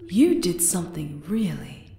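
A woman voicing a regal, possessive yet tender spider queen in an ASMR roleplay, soft and deliberate in manner. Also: fairly low pitch, sing-song, vocal fry, full character voice. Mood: anguished. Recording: roomy, echoing cave-like reverb.

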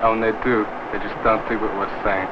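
A man's voice: on the low side, fairly quick, lightly acted. A man playing a frustrated teenage schoolboy, complaining in an educational film. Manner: flat, complaining. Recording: muffled, noisy 1960s film sound.

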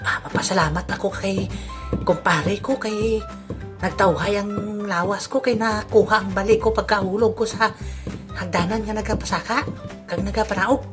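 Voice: medium pitch, fairly even intonation, normal speed, music in the background, close to an everyday voice. A performer playing a nosy, easily shocked villager with a Filipino accent in a comic audio drama, muttering hesitant asides. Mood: chatty, amused. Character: Albedo, nosy, easily shocked village man eavesdropping on friend.

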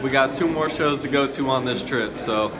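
A man's voice: mid-range voice, moderate pace, poor audio. A young man chatting upbeat to his viewers while he films himself on a phone held at arm's length, walking around a city. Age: young adult. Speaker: vlogger. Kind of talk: casual travel vlog narration. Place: streets and shops of a city, often outdoors in rain. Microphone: phone camera at arm's length. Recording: phone audio with loud street noise.

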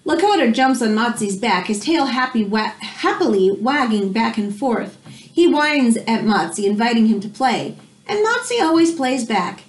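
An American woman in her thirties reading a children's nonfiction book aloud at home, pausing to explain things simply like a teacher. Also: fairly high pitch, big pitch swings, rough recording. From United States. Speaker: read-aloud host for children. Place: small room at home, indoors.

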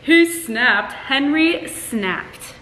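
A woman's voice: high voice, expressive, drawn-out words, sharp tone, roomy sound, slight background noise. A young woman in her twenties chatting casually and bubbly in a home vlog, picked up on a camera mic across the room. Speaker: vlogger. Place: bedroom and living room at home.

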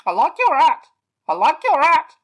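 A woman doing a squawky, high-pitched toucan. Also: normal speed. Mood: excited. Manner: excitable, repeats phrases. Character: chatty male toucan, squawky and lively.

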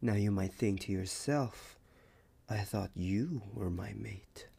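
Man playing a husky, very slow werewolf boyfriend. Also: fairly low pitch, dark tone, exaggerated voice. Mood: possessive, coaxing. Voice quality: husky, breathy, often dropping to a whisper. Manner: slow, deliberate, teasing, with long pauses. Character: possessive, smug male werewolf alpha boyfriend. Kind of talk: ASMR roleplay.

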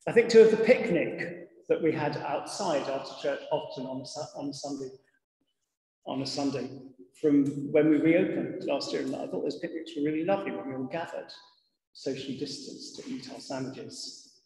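A middle-aged man, a vicar giving a sermon in a measured, reflective manner with deliberate pauses. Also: on the high side, dark tone. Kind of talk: sermon to a congregation. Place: large church interior, indoors. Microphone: lectern gooseneck microphone. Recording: echoey church hall with long reverb.

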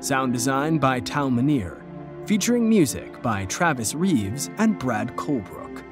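A man reads out scripted credits as an announcer. His pitch moves around in a lively way at a medium height, with music clearly behind him. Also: a little slow.

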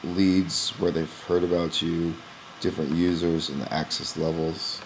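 A young man who runs a gym, walking through a software screen recording in a casual, explanatory way with frequent "um" pauses, recorded on a webcam at his desk. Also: sits low, flat delivery, slight background noise, rough recording. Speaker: gym owner demonstrating software. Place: small indoor room at a computer. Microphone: webcam in front of the face.

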